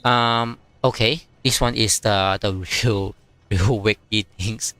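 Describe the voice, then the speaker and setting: Chinese-native man, bright, dry close mic. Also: fairly low pitch, fairly even intonation, smooth, no room sound. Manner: halting, many fillers, reads chat aloud. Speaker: game streamer. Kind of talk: casual live-stream commentary.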